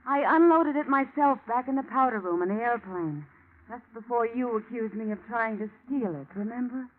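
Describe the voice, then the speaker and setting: An actress playing a cool, sharp-tongued young woman, an undercover agent, in mid-century American radio drama style. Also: a little high, expressive, normal speed, lightly acted. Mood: cool, defiant. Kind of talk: 1940s-50s radio drama acting. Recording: tinny, noisy vintage radio recording.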